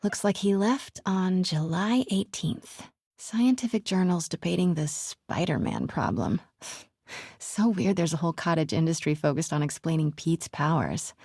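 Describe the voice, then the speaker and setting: A woman voicing a curious, caring young reporter in a video game, musing aloud to herself in a natural, soft voice that often drops to a hushed murmur. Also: on the low side, gravelly, husky, no room sound, studio quality. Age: young adult.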